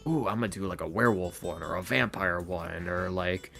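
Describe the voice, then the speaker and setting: Guy with a fairly low voice rambling on a podcast, sardonic, bit of guitar music behind him. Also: fairly quick, creaky, a bit bright. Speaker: interview guest, ASMR roleplay creator. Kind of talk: casual podcast chat. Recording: music playing behind the talk. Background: background music with guitar.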